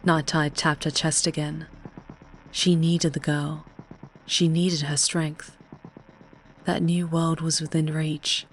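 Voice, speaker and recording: A woman narrator reading a scripted story in a dramatic audiobook style. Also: low voice. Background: faint music.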